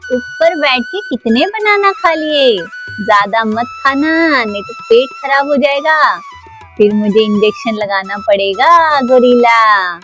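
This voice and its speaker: A woman's voice: a little high, lively intonation, takes it slowly, fairly bright tone, faint music behind. A woman voicing a kind, caring female animal doctor in a children's toy cartoon story.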